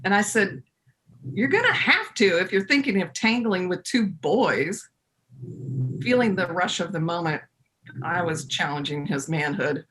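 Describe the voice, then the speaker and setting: A woman playing a folksy storyteller in her fifties in a readers theater monologue, conversational and confiding, with dramatic pauses. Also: lowish voice, expressive, a bit bright, rough recording. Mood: recounting, confident.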